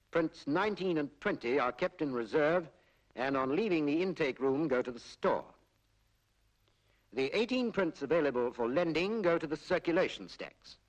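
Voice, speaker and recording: A British man narrating a 1940s public information film in a formal, measured, explanatory way, on a period film soundtrack. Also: a little high, muffled recording. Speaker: documentary narrator.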